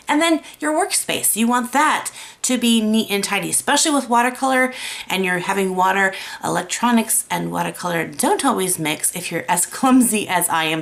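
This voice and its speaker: A woman with a raspy voice gives a chatty, encouraging watercolor lesson. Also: mid-range voice, a bit bright. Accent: American. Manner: encouraging, chatty, self-deprecating. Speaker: watercolor art teacher. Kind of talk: casual tutorial talk.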